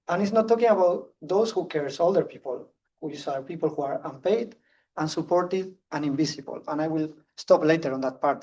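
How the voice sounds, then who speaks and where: Spanish-accented man speaking fast. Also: fairly high pitch, a little mellow, distant mic, poor audio. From Spain. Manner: fast, earnest, with restarts. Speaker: conference speaker. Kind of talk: public talk.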